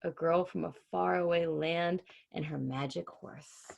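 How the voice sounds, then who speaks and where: A woman's voice: on the low side, fairly even intonation, measured pace, gravelly, lo-fi sound, exaggerated voice. Woman as earnest, wonderstruck storybook girl. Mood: awestruck. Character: wonderstruck young girl, daydreaming costume-shop helper.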